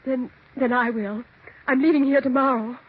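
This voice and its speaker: A woman's voice: high-pitched, fairly even intonation, normal speed, slightly rough. A woman playing a fearful, fretful mother of a grown son who dreads a ghost, agitated, in American 1940s radio suspense acting. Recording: dull, noisy 1940s radio recording.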